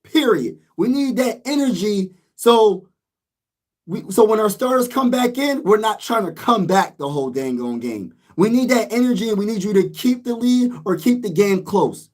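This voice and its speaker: A man's voice: high-pitched, expressive, moderate pace. A man losing his voice, ranting emphatically as a sports vlogger in a casual, frustrated way.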